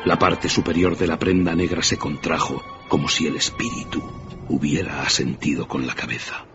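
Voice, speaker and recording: A man narrating a radio drama as a grave storyteller, measured and dramatic. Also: rumbling low, wildly swooping pitch, heavily acted. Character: grave storyteller of a ghost tale. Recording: dull radio recording, music behind.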